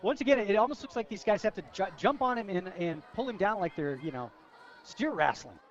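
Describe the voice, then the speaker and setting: An American man giving conversational color commentary and analysis on a high school football radio broadcast. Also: lively intonation, brisk. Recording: dull, lo-fi outdoor radio broadcast.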